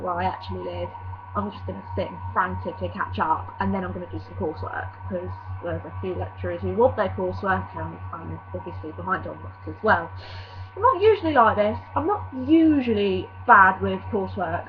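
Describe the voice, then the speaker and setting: A young woman from vlogging casually, rambling and apologetic, her nose slightly stuffy from a cold. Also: mid-range voice. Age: young adult. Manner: rambling and self-apologetic, with many fillers. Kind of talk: casual chatty vlog. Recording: dull lo-fi recording with faint hum.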